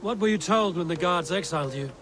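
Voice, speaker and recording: A man's voice: high-pitched, quick pace, a bit bright, soft background music. A man playing a blunt, practical adult Viking warrior in a video game. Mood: questioning, skeptical. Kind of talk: video game cutscene acting.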